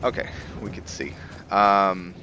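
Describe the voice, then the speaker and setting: Slow, deep-voiced man gaming. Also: noisy background. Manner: rambling, thinking aloud with many ums. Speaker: gaming video creator. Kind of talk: casual let's-play commentary.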